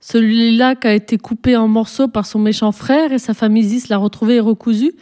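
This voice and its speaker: A woman's voice: on the high side, fairly even intonation, brisk, warm tone, close to an everyday voice. A woman voicing a cheeky, impulsive schoolboy in a storybook, with blunt, childish exclamations. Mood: curious. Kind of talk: storybook reading, character dialogue.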